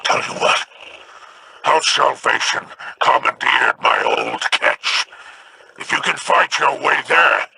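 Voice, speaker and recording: A man voicing a deliberate, slow-speaking crime boss in a video game. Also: medium pitch, wildly swooping pitch, gravelly, bright, forceful tone, breathy edge, full character voice, rough recording. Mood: calm.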